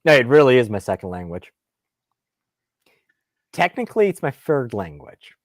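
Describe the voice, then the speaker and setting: A man's voice: medium pitch, very animated, moderate pace, dry recording, clean studio sound. A man whose first language is Russian, hosting a casual live question-and-answer stream about guns in a rambling, self-deprecating, lightly salty way.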